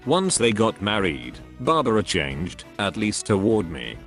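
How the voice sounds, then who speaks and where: Low synthetic male reading voice. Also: wildly swooping pitch, measured pace, a bit bright, faint music behind. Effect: text-to-speech voice. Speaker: narrator reading posts. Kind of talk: reading forum stories aloud.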